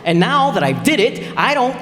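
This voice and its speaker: A man's voice: extremely high pitch, sing-song, normal speed, fairly bright tone, faint music behind, full character voice. Man playing a blustery, folksy husband, echoey hall. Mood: self-satisfied. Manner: blustery, colloquial, ungrammatical. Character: folksy blustering husband, careless handyman with sloppy grammar. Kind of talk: live re-creation of 1940s radio comedy. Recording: echoey hall, live stage performance.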